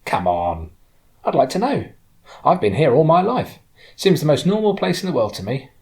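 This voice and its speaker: A man's voice: fairly high pitch, very animated, normal speed, voice pushed up. A man voicing a cheerful, kindly Cornish woman, chatty and direct, in a storybook reading. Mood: curious, bright. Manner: chatty, warm, direct, questioning.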